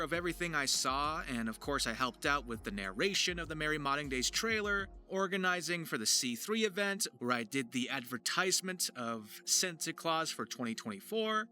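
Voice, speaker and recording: A man's voice: fairly high pitch, bright, forceful tone. A man narrating a video to his viewers as a voice actor and writer, in a chatty, emphatic, informal way.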